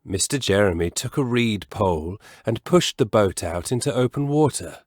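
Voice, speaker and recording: Male storyteller, lowish pitch, measured expressive children's story reading, savoured words, dry close sound. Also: no echo.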